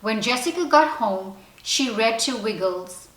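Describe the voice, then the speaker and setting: Woman reading a kids picture book, slow n expressive, echoey and a bit far from the mic. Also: a little high. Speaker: teacher reading a children's picture book aloud. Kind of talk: expressive scripted storybook narration. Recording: roomy echo, distant from mic.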